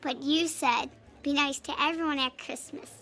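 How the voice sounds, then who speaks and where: A cheerful, inquisitive little girl in a radio play. Her voice is high and bright, and she chatters with innocent, questioning directness. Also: steady tone, normal speed, faint music behind. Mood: curious. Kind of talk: radio drama acting.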